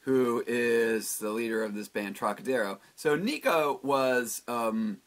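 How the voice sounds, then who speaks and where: A man's voice: medium pitch, animated, a little slow. A singer-songwriter man talking casually in his recording studio, rambling with lots of fillers and the odd swear word.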